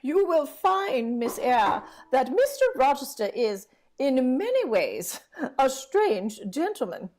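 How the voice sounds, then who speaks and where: Slow, swooping, high-voiced elderly housekeeper. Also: female voice, sing-song, darkish tone, slight background noise, heavily acted. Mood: kindly, chatty. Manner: genteel, fussing, exclamatory. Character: kindly, chatty elderly housekeeper, slightly deaf. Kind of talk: vintage radio drama acting.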